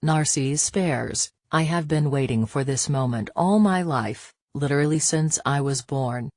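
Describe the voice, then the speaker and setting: Dry, even synthetic news reader. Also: medium pitch, dry recording. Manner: even, unhurried read-out, speaking the interviewer and guest labels aloud. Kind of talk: scripted read-aloud of a news interview.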